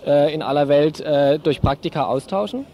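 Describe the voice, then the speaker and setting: A man's voice: on the high side, moderate pace, warm tone. A young man, a student organiser, answering in a spontaneous street interview, hesitant and searching for words. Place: outdoors on a city street.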